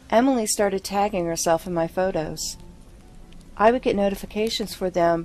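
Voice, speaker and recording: A woman's voice: mid-range voice, darkish tone, slight background noise. A woman narrating a first-person horror story from a script.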